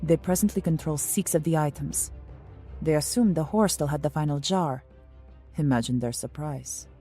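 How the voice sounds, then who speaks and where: An actress playing a mysterious, commanding royal agent in a steampunk audio drama, formal, cryptic and coolly condescending. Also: female voice, low-pitched, moderate pace, mellow, breathy edge, faint music behind. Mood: grave, commanding.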